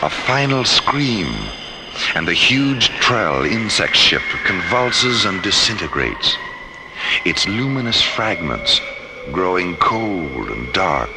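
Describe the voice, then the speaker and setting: A man delivering dramatic scripted radio narration as announcer, with theatrical, deliberate phrasing. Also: sits low, creaky, noisy background. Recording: loud music behind, vintage radio production.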